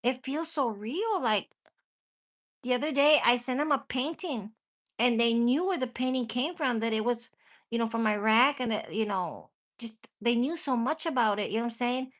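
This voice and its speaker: A woman's voice: fairly high pitch, expressive, normal speed. A woman hosting a casual live chat with her viewers, rambling, swearing freely and laughing often, with a stuffy nose and a cough. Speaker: livestream host chatting with viewers.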